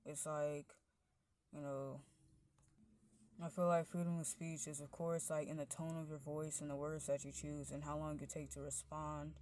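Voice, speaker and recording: A woman with a deep voice, rambling through a casual monologue, padding every phrase with "like" and "you know" while her pitch and loudness wander. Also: little pitch movement, moderate pace.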